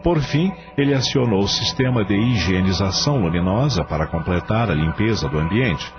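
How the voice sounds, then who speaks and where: A man's voice: on the low side, animated, normal speed, highs cut off. A Brazilian man narrating a radio novel in a dramatic scripted style. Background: music.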